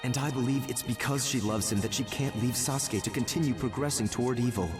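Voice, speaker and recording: Guy as a earnest young ninja, measured, mid voice, bit off mic, anime game cutscene. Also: mid-range voice, distant mic, over music, close to an everyday voice. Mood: solemn. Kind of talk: English anime game voice acting.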